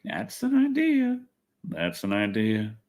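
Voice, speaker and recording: A man's voice: fairly low pitch, wildly swooping pitch, takes it slowly, darkish tone. A man chatting casually on a livestream, rambling and griping in a wry, exasperated, sweary way. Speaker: livestream host. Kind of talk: casual rambling livestream chat, reading comments.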